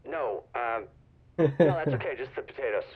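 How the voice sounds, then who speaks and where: A man playing an indecisive young boyfriend in a video game, talking casually and haltingly over a phone line. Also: medium pitch, husky. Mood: hesitant. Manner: hesitant, informal. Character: indecisive, jealous young boyfriend on his lunch break. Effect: telephone filter. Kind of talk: naturalistic game acting, phone call. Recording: muffled recorded phone call.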